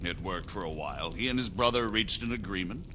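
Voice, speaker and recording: A man playing a measured, diplomatic medieval margrave in a video game, speaking formally and deliberately. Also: sits low, lively intonation, pushed and bright, a little hiss or hum. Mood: grave, explanatory. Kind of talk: dramatic video game acting.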